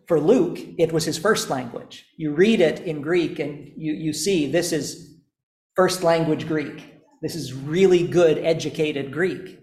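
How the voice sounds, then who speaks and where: A man teaching a class, explaining slowly and deliberately with pauses. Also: a little high. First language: not English, also speaks Estonian. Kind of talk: classroom lecture. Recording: echoey room.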